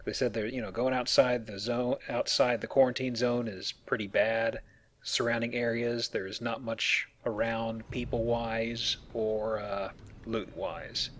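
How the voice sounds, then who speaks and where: Male let's player, medium pitch, informal and explanatory, talking through gameplay over faint background noise. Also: steady tone, unhurried, fairly bright tone. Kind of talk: casual gameplay commentary.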